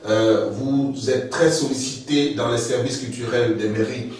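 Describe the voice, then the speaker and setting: A man's voice: mid-range voice, steady tone, a little slow, a little mellow. A man hosting an interview, chatty and eager, often chiming in with short affirmations. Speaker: interviewer, host. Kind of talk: interview questions. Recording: roomy echo, distant mic.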